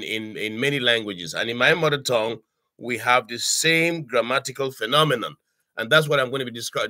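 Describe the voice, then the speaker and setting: An older man from Cameroon giving an informal online language lesson from his home study, speaking haltingly with many fillers and restarts and checking in with "okay?". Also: mid-range voice, sharp tone, no echo. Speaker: language tutor. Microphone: webcam on a video call.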